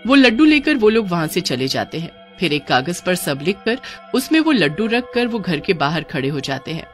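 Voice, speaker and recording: A woman's voice: fairly low pitch, big pitch swings, normal speed, faint music behind. A woman storyteller giving dramatic scripted narration of a cartoon horror story.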